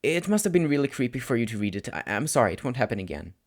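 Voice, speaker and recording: A man's voice: medium pitch, sing-song, very fast. A man voicing an anxious, eager teenage schoolboy, hesitant and stammering, in an audiobook-style fan fiction reading. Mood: ashamed.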